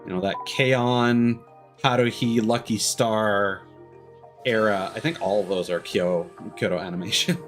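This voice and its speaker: Low-voiced man rambling over music. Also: fairly even intonation, unhurried. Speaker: game streamer. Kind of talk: casual live-stream commentary.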